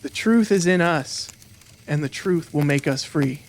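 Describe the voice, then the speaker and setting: Man as slow, solemn, earnest schoolboy. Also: fairly high pitch. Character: earnest schoolboy Austin, questioning then solemn. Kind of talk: audiobook acting.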